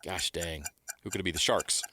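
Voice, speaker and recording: A man co-hosting sports radio, bantering informally and thinking out loud. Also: sits low, fairly quick, a little hiss or hum. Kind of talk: casual sports talk banter. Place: radio studio.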